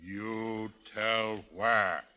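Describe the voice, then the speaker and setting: A proud, vengeful war chief in an old radio drama. He speaks slowly and solemnly in halting broken English. The recording is dull, with a long reverb trailing the voice. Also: lowish voice, steady tone. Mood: menacing, demanding. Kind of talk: 1930s radio drama acting.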